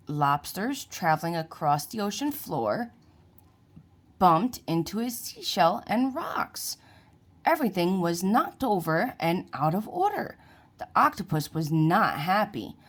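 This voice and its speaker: Slow, animated woman reading a children's story. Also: fairly low pitch. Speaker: children's book reader. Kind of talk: read-aloud storybook narration for children.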